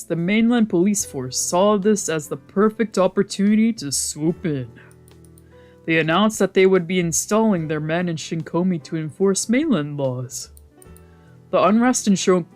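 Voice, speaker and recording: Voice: medium pitch, a little mellow. A streamer of a visual novel reading the text aloud and adding casual, wry asides.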